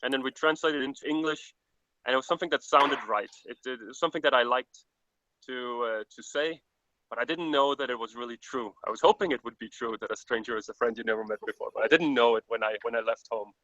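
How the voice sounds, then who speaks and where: A man's voice: medium pitch, steady tone, fast, bright, forceful tone, muffled recording, a little hiss or hum. A man from Denmark with a Danish accent, speaking English as a second language, a traveler giving an earnest, reflective interview over a call with frequent restarts. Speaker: traveler guest. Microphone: video call.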